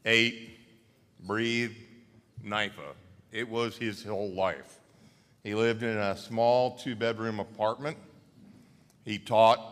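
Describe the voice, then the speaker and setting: An older man speaks at a podium with a low, bright and forceful voice. His talk is folksy and reminiscing, with emphatic stresses. A long reverb hangs behind him from the banquet hall. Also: clean tone. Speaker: banquet speaker presenting an award. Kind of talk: speech at a podium.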